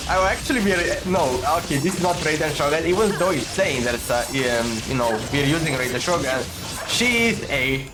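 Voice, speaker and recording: A man's voice: fairly high pitch, animated, normal speed, poor audio. Hesitant, informal male game streamer. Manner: informal, hesitant, musing aloud. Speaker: gaming streamer. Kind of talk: casual playthrough commentary. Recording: game music behind. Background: video game music.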